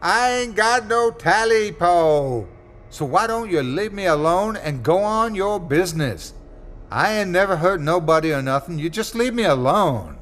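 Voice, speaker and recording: A man playing a lone old backwoods man, calling out in high, yelping dialect. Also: lively intonation, unhurried, a bit bright. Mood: frightened. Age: older. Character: old backwoods man living alone, easily scared.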